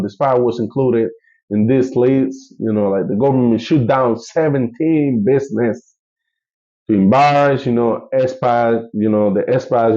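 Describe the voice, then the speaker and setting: A man's voice: mid-range voice, warm tone, highs cut off. Man with a Colombian Spanish accent, rambling. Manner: rambling, many fillers and restarts. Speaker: travel vlogger. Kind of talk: casual vlog update.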